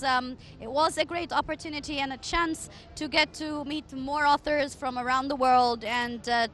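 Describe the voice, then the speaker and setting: A woman co-hosts a TV interview and puts polite, formal questions to the guests. Her voice is high and bright with a tense edge. Faint noise hangs in the background. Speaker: TV co-host. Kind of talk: TV interview hosting.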